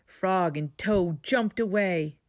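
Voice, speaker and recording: A woman reading a children's story aloud as a storytime host, with expressive, dramatic emphasis. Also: on the low side, slow. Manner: dramatic emphasis, asks listeners questions.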